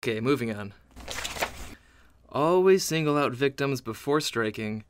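An actor playing a mischievous, sneering haunter in a comedic audio drama, full of sarcastic muttered asides. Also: male voice, medium pitch, animated, measured pace, a little hiss or hum, natural voice. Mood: sarcastic, exasperated. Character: sneering mischievous male haunter reading a scaring manual.

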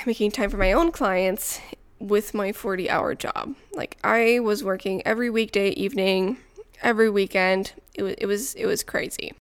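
Smooth-voiced woman hosting. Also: mid-range voice. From Montreal, Canada. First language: French. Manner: chatty and personal, light laughs. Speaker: podcast host. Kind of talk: solo podcast monologue.

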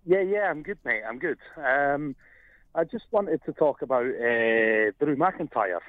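A man's voice: medium pitch, lively intonation, a little slow, no echo. A man with a Scottish accent calling in to a talk show, friendly and hesitant, with lots of fillers. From Scotland. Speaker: caller. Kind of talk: phone-in call to a talk show. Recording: tinny phone line.

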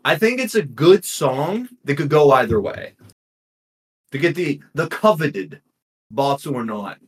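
A man co-hosting a casual music podcast, easygoing and thinking out loud. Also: on the high side, animated, a little slow. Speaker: podcast co-host. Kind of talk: casual chat.

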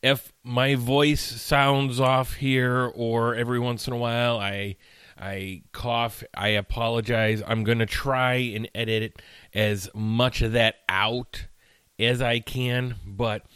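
A man's voice: mid-range voice, level tone, takes it slowly, a bit bright, clear, resonant tone, dry recording. A man hosting his own podcast in a casual, rambling chat full of fillers, sounding tired and a bit nasal while he recovers from illness. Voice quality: slightly nasal. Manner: chatty, rambling, with frequent fillers and restarts. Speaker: podcast host. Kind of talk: casual solo podcast rant. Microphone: studio mic.

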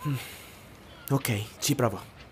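A man playing a shy, unsure young boy with magic in a fantasy audio drama, speaking haltingly. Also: medium pitch, background noise, lightly acted. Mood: timid, doubtful. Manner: hesitant, halting.

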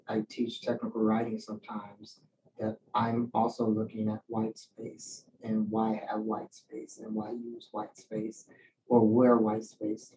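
A man speaking casually and reflectively as a poet and teacher answering questions, acting out bits of remembered speech as he tells stories. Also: lowish voice. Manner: animated storyteller, acts out quoted remarks. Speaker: poet and writing teacher giving a talk. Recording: distant mic with faint background noise.

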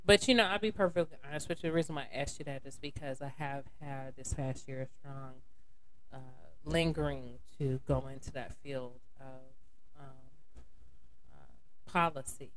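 A woman's voice: very deep voice, steady tone, moderate pace, a little hiss or hum, low-quality recording. A woman from North Carolina, talking casually on a podcast, rambling with lots of fillers and calling people honey. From North Carolina, USA. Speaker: podcast guest.

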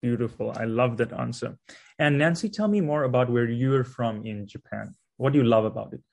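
A man hosts a friendly conversation. His voice sits at a middle pitch. He talks in loose, restarting sentences as he asks his guests questions. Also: fairly quick, creaky, a little mellow. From South Africa. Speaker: podcast host. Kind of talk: conversational interview.

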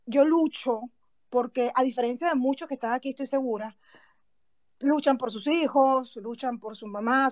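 A woman's voice: a little high, no echo, studio quality. A woman with a Venezuelan accent speaking earnestly and from the heart on a remote audio call. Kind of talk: personal remarks in an online audio chat room.

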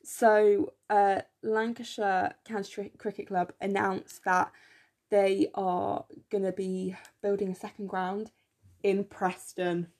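A young woman co-hosting a podcast in casual chat, enthusiastic and full of fillers, her voice slightly croaky, recorded on a phone in her room. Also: mid-range voice, flat delivery, takes it slowly, a little mellow. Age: young adult. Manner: chatty, enthusiastic, many fillers. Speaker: podcast co-host.

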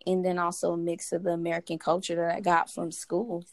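A woman's voice: on the low side, completely flat delivery, a little fast, no room sound. A young woman whose first language is Haitian Creole, talking in an easygoing way in a podcast chat. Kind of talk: casual group discussion.

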